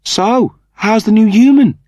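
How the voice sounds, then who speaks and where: Man putting on a high-pitched, sensible young woman. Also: measured pace, darkish tone, no echo, clean studio sound. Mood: patient. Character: young human woman, sensible, patient, slightly exasperated.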